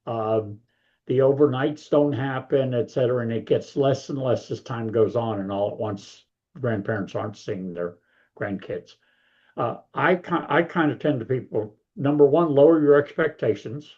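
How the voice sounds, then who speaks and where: Older man with a big, warm voice. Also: medium pitch, moderate pace, dull audio. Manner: folksy, rambling, with restarts. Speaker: author and guest. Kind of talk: casual interview talk.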